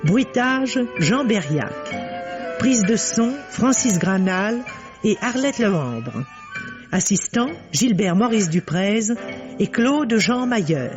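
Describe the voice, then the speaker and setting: A man formally reads scripted programme announcements and credits as a radio announcer, with deliberate delivery, on a vintage recording. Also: high-pitched, lively intonation, highs cut off. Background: music.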